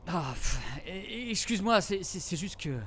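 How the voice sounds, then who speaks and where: An actor playing a tense, protective forest ranger in a naturalistic audio drama, urgent and stammering. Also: male voice, high voice, animated, moderate pace, a bit bright. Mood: irritated, rattled. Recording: background noise under the voice.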